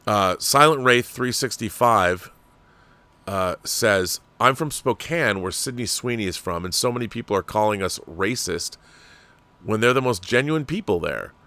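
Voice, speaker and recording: A man with an American accent and a fairly low voice hosts a livestream. He comments in a casual, discursive way, sharing opinions as if thinking aloud to his viewers. The sound is very dry, with no room reflections. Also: expressive, measured pace, pushed and bright, no echo. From Washington state, United States. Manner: conversational and discursive, with rhetorical questions. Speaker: livestream host.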